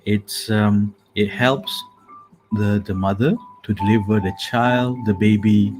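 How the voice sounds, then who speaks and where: A man with a calming, soothing voice, softly hosting a casual sleep meditation talk with many hesitant fillers. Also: lowish voice, slow, rough recording. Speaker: host. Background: calming music.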